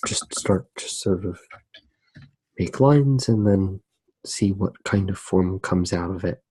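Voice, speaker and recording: A man gives a soft ASMR narration in a low, husky voice. He talks very slowly and hesitantly, feeling his way through unscripted thoughts in a hushed tone. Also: rough recording. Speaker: host of an ASMR drawing channel. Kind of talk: soft-spoken ASMR narration while drawing.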